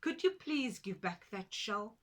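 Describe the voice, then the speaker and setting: A woman's voice: medium pitch, expressive, unhurried, natural voice. A woman voicing a polite, helpful male wild duck in a storybook. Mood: caring. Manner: polite, firm.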